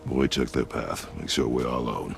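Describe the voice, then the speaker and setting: An actor playing a stern, battle-hardened warrior father in a video game, giving terse commands in a deep, gravelly voice. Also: animated, moderate pace, soft background music, exaggerated voice. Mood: guarded, commanding. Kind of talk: dramatic game acting.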